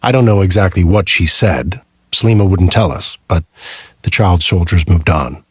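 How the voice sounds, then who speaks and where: Very deep, husky male narrator. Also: animated, normal speed, no room sound, clean studio sound. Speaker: audiobook narrator. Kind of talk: scripted first-person thriller narration.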